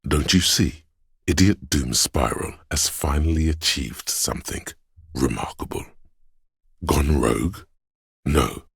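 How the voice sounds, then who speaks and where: A man voicing a pompous, erudite inner voice of artistic imagination in a video game, deliberate and theatrical, with a gravelly, husky voice. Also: a bass voice, a bit bright, no echo, studio quality, heavily acted.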